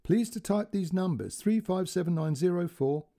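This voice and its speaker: A man's voice: high-pitched, expressive, normal speed, mellow, voice pushed up. A man voicing a patient young call-centre woman. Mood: patient, polite. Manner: polite, repeats "sir", accent put on.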